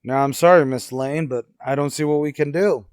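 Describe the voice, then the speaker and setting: A man's voice: on the high side, brisk, no echo, studio quality, pitched below the performer's own voice, natural voice. A man acting as a stern police commissioner in a radio drama, formal and officious. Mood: stern, dismissive.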